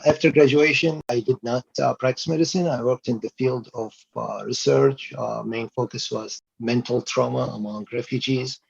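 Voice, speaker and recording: A man from Iraq speaks haltingly on a panel, pausing often with fillers. His voice is deeply gravelly and husky. The recording sounds dull and lo-fi. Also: steady tone. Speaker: medical student. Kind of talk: panel self-introduction. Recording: dull lo-fi audio.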